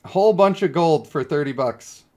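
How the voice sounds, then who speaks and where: Higher-pitched man streaming, blunt and opinionated. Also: lively intonation, moderate pace, a little mellow. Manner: opinionated, blunt, exasperated ranting with some swearing. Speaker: game streamer. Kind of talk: casual livestream commentary on a mobile game.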